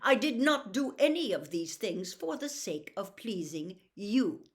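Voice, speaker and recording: A woman's voice: mid-range voice, sing-song, unhurried. A woman voicing a proud, cool young noble girl in a storybook, speaking in a formal, lofty way. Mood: cool, curt.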